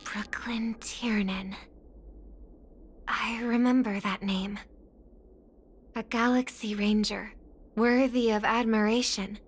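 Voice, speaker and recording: A refined, thoughtful young lady in a game. She speaks softly and slowly in a fairly high voice, with faint music behind her. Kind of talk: scripted game dialogue.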